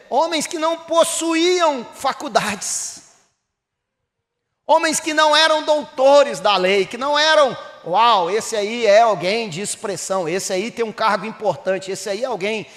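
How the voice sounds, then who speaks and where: A Brazilian pastor from Minas Gerais with a Mineiro accent, preaching a sermon that rises to emphatic bursts and drops low again. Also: male voice, a bit bright, in a large hall. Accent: Mineiro Brazilian Portuguese. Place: church hall.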